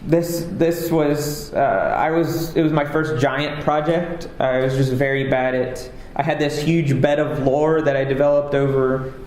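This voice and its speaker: A man's voice: mid-range voice, unhurried, hardly any pauses, darkish tone, slight background noise. A man giving a casual, rambling conference talk as a game developer, with lots of fillers and a self-deprecating manner. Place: conference stage. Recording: echoey hall with faint ambience.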